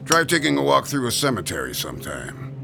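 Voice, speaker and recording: Heavyset gravestone carver, very gravelly, lively swooping voice, folksy teasing, music under. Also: male voice, mid-range voice, brisk, heavily acted. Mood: jovial, teasing. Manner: folksy, teasing, darkly joking. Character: jovial heavyset sweating male gravestone carver. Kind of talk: radio drama acting.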